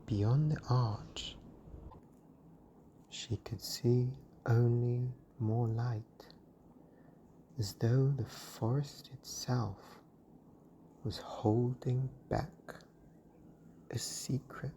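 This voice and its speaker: A bedtime storyteller with a dark, mellow voice, reading extremely slowly. The delivery is hushed, breathy and gentle, in soothing scripted narration, with faint noise and soft ambience behind it. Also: medium pitch, fairly even intonation.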